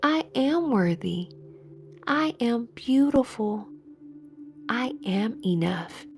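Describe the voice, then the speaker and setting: Higher-pitched woman guiding a meditation. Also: expressive, slow, faint music behind. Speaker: meditation guide, host. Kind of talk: guided meditation narration.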